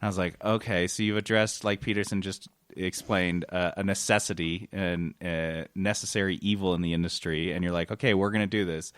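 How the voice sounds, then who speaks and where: A man's voice: low-pitched, fairly even intonation, fairly quick, few pauses, a bit bright, smooth, no echo, clean studio sound. A man hosting a podcast in casual, jokey chat, with a wry and playful manner. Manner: wry, playful, mimics others in mock quotes. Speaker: podcast host.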